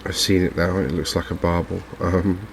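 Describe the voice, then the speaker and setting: A man with an English accent giving softly spoken, unhurried ASMR game commentary, musing gently and hesitantly as he goes. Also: low voice, level tone, vocal fry. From England. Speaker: gaming video host. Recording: faint background noise from open windows and fans.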